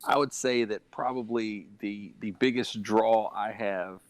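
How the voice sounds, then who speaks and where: A man's voice: lowish voice, fairly even intonation, a little slow, no echo. A man co-hosting a casual gaming discussion, sharing opinions as he thinks them through aloud. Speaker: gaming podcast co-host.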